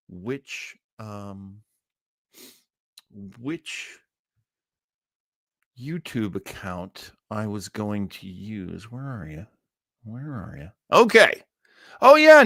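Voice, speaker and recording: A man hosts a casual live stream with enthusiasm and frequent sidetracks. His intonation swings up and down a lot, while the pace stays slow and halting. The sound is dry, with no room around him. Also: lowish voice, a bit bright, dry recording. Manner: rambling, hesitant, easily sidetracked.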